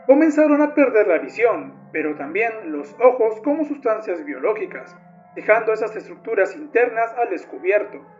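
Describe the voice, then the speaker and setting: A man narrates from a script in a notably high-pitched voice. The recording is dull and lo-fi, with music playing behind the words. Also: big pitch swings, normal speed.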